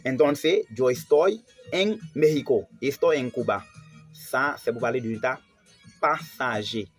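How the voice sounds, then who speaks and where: A man's voice: medium pitch, a little slow, slightly rough, no room sound, faint music behind. A man from Haiti, a Spanish teacher, giving a televised grammar lesson in a repetitive style that stresses key words.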